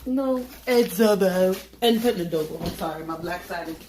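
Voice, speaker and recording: A woman's voice: fairly low pitch, expressive, moderate pace, echoey room, background noise. A tired woman of Haitian family chatting casually and joking while she cooks late at night in her home kitchen, swearing now and then. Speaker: home cook vlogger. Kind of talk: casual cooking vlog chat.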